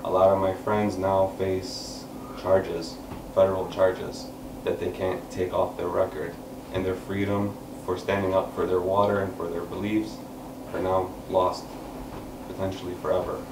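A man's voice: deep voice, level tone. A man in his thirties at an open mic, earnestly explaining to a small seated audience before reading a poem. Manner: earnest, gesturing while talking, many pauses. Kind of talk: spoken word poetry and explanation. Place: small indoor room, seated on a couch with an audience. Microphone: far camera, no mic seen. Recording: echoey room, camera from far off, background noise.

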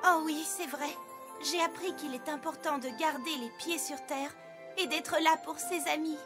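A woman's voice: high-pitched, moderate pace, close to an everyday voice. A woman voicing a refined, dramatic female pony in a French cartoon dub, with flowery, theatrical delivery. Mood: earnest. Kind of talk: French-language cartoon dubbing. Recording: music behind the voice.

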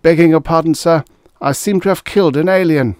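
A frightened young army botanist , acted out by a male audiobook reader, reports in stiff military language. His voice is raised well above the reader's own, nervous and halting, in a dry, close sound. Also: fairly high pitch, darkish tone, no echo, pitched above the performer's own voice, natural voice.

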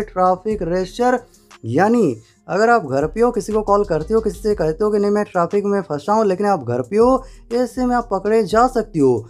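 A man's voice: high voice, a little mellow. A man narrating a step-by-step phone tutorial in an instructional way, repeating stock phrases. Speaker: tech tutorial presenter. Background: faint music.

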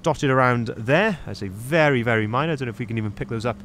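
A man's voice: medium pitch, quick pace, fairly bright tone, a little hiss or hum. A young man, a sales manager, giving a detailed walkaround of a van for sale outdoors on a cold lot, recorded on a handheld camera. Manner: upbeat, detailed, pointing things out as he walks. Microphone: handheld camera, first-person view.

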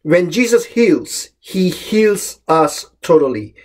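A middle-aged man from Malaysia, a pastor, giving a short devotional sermon in a calm, measured way. He is recorded close up on a webcam in a small room at home. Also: high voice. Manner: calm, measured reading of scripture with emphatic openings. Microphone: webcam or laptop camera close up.

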